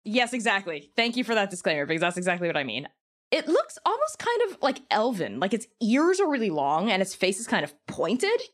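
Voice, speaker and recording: Animated woman chatting informally. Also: mid-range voice, brisk. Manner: informal, peppered with "like" and "kind of". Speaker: podcast guest. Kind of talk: casual chat, opinionated explanation.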